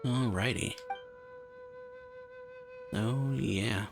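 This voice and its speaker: A man's voice: mid-range voice, very slow, creaky. A man giving casual, offhand commentary on a game, muttering short remarks as he watches. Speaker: game reaction video host. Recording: game music behind the voice. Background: game dialogue and music.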